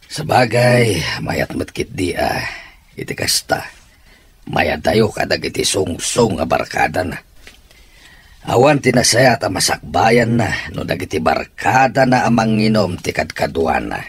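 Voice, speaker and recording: A man with an Ilocano accent playing a worried middle-aged father in a radio drama, with a husky, raspy voice. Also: fairly low pitch, expressive, measured pace, exaggerated voice.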